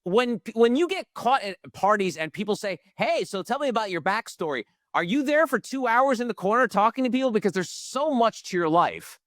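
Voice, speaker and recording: A man's voice: high-pitched, sing-song, fairly quick, hardly any pauses, fairly bright tone, no room sound, studio quality. A man hosting a conversational interview, speaking in a casual, colloquial way. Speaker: podcast host interviewing a guest.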